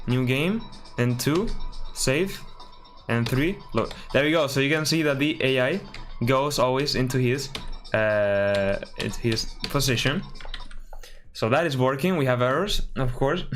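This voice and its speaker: A man from Spain with a Spanish accent, thinking aloud while building a game on a live stream and often correcting himself with a quick sorry. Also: medium pitch, a bit bright. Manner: mutters through steps, apologizes and corrects himself often.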